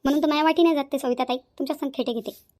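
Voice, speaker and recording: Blunt young daughter-in-law, woman acting, high and rapid. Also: fairly even intonation, warm tone, natural voice. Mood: assertive. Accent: rural Maharashtra, Varhadi. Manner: outspoken, insistent, combative. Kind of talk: cartoon family drama acting.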